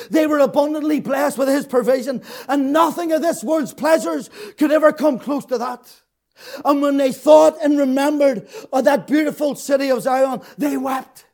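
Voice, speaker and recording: A man preaching an impassioned sermon, repeating his phrases, swinging from shouted peaks to hushed, tearful lows. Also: vocal fry. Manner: fervent, repetitive, rising to shouts then dropping low.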